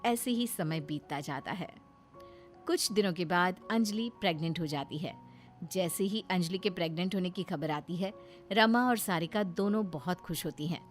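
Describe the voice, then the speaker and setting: A woman's voice: on the low side, moderate pace, soft background music. A woman narrating a scripted cartoon story as a storyteller.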